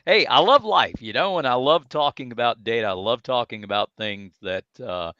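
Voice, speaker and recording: A man's voice: mid-range voice, animated, moderate pace, sharp tone, clean tone, no echo. An American man chatting as a podcast guest, telling stories in an enthusiastic, effusive and polite way. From United States. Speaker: podcast guest, data speaker. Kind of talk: casual conversational storytelling. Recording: dry, slightly dull audio.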